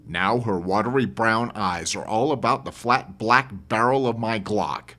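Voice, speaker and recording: A man reads his own fiction as an audiobook narrator. His voice sits a little low. The delivery is dramatic, with lively, wide-ranging intonation in a first-person telling. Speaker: author reading own novel as narrator.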